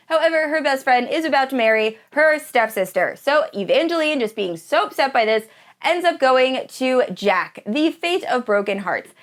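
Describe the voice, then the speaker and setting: A woman in her thirties chatting casually and enthusiastically to viewers as a book vlogger, with a gushing manner. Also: high voice. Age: 30s. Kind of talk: casual chat to viewers about books.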